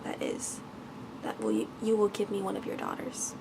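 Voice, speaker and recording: A woman voicing an angry, commanding male beast in a bedtime storybook, with stern, formal speech, reading softly for ASMR. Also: mid-range voice, wildly swooping pitch, normal speed, creaky, background noise. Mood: stern, grim.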